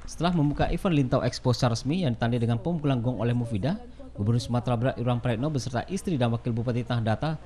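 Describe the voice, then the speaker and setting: Male news reporter, fast formal read, mellow dark voice. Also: medium pitch. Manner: brisk formal delivery. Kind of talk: scripted news voice-over.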